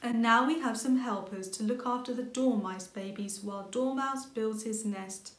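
A woman voicing a kindly, calm, helpful old grandfather rabbit in a storybook reading. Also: a little high, moderate pace, natural voice. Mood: encouraging. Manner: calm, encouraging. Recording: roomy home recording.

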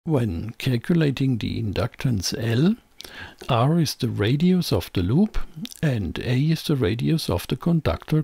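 A man reading scripted technical narration as a tutorial presenter, in a measured, explanatory way. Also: mid-range voice, vocal fry, darkish tone, breathy edge, dry recording. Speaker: presenter of an electronics tutorial channel.

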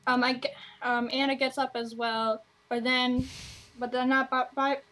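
A woman's voice: sits high, flat delivery, moderate pace, fairly bright tone. A woman speaking casually as a player in a role-play game.

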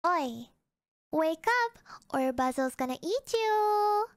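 A woman streamer speaks in a very high, cutesy voice. Her pitch moves around animatedly while the pace stays slow. The sound is close and dry, with a playful, performed delivery. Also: lively intonation, dry recording. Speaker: virtual streamer. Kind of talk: playful livestream voice-acting of alarm messages.

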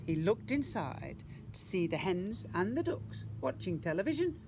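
A man, reading a children's storybook aloud outdoors in an expressive, deliberate way with drawn-out words. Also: sits high. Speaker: school teacher reading to young children. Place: outdoors in a school garden. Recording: outdoor recording with machinery noise.

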